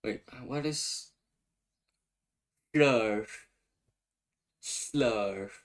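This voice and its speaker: A man's voice: on the low side, drawn-out words. A young man with a Danish accent, a native Danish speaker, hosting a casual explainer of his invented language. He talks hesitantly and thinks aloud as he translates on the fly. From Denmark.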